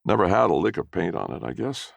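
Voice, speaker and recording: A man's voice: low-pitched, big pitch swings, fast talker, vocal fry, no echo. A man reading a first-person story as narrator in a folksy storytelling manner.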